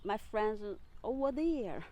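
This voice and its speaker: Halting woman. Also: fairly high pitch, big pitch swings, warm tone. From China. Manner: halting, searching for English words. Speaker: migrant mother. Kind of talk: documentary interview.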